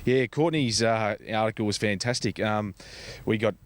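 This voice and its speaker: A man with an Australian accent answering interview questions in a halting, conversational way, often repeating words. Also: fairly low pitch. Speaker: charity foundation representative being interviewed.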